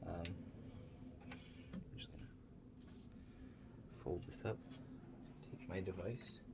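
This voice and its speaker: A man performing an improvised ASMR roleplay monologue in a halting, hesitant, deadpan manner. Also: sits low. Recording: clear background noise.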